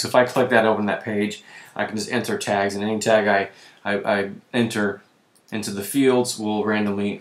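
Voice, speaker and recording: Hoarse man in an echoey room, casual walkthrough. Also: on the low side. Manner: casual, self-correcting, clears throat often. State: losing his voice. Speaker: web tutorial presenter. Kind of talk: screen-recorded software walkthrough.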